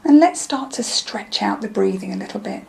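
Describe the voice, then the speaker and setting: A woman leading a guided meditation in a gentle, soothing, unhurried manner, with phrases that trail off softly. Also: medium pitch, expressive. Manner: soothing, gentle, unhurried instruction with soft breathy phrase endings.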